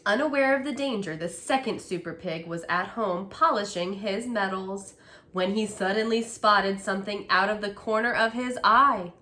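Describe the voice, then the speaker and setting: A woman telling a story to children as a storyteller and teacher, in an expressive storybook style. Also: mid-range voice, moderate pace, slight background noise.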